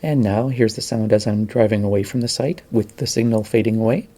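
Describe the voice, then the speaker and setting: A man's voice: fairly low pitch, brisk, warm tone. A man narrating his own amateur recordings in a plain, brief, matter-of-fact way. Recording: very noisy, radio hiss and clicks.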